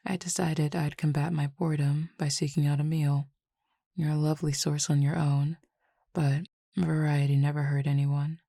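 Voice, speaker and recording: A woman voicing a calm cupid roommate who feeds on desire, in an audio roleplay spoken to the listener, formal, measured and quietly teasing. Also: sits low, gravelly, no room sound, clean studio sound. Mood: languid teasing.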